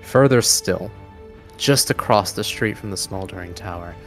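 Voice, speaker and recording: A man narrating a tabletop game as game master in a dramatic, deliberate style, pausing mid-sentence for suspense. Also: low voice, soft background music.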